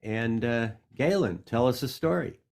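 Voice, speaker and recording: A man hosting an online storytelling session, moderating with brisk, informal directions over a video call. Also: medium pitch, animated, gravelly, darkish tone. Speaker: host. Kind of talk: moderating a workshop.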